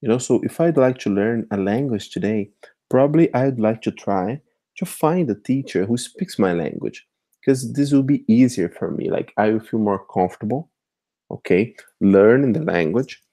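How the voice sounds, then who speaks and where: A man whose first language is Portuguese, talking through an online tutorial in a relaxed, patient way and often checking in with the listener. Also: lowish voice, normal speed. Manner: conversational, keeps checking in with "okay?". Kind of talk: screen-share tutorial walkthrough.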